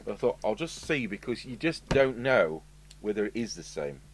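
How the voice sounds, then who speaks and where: A man's voice: lowish voice, moderate pace. An English man hosting a casual, unscripted repair video, rambling chattily with asides as he demonstrates. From United Kingdom. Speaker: video host and radio repairer. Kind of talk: casual unscripted talk to camera while demonstrating. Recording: steady hum and background noise.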